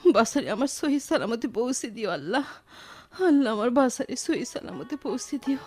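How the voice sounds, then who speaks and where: A woman's voice: a little high, animated, moderate pace, a little mellow, soft background music. An actress in a Bengali radio drama playing a doting, fretful grandmother with a rural Bangladeshi accent, fussing and prayerful. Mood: worried, praying.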